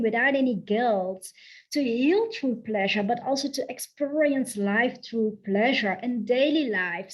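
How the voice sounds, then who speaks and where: A woman from the south of the Netherlands with a Dutch accent, speaking slowly and softly as a spiritual coach in a channeled guided talk, repeating her affirmations. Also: a little high, rough recording. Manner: slow, soft, affirming, repeats phrases.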